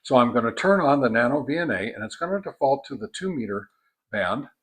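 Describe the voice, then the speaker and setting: A man giving an informal product test and demonstration, explaining methodically in a halting way. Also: medium pitch, animated, breathy edge. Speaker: product reviewer, host.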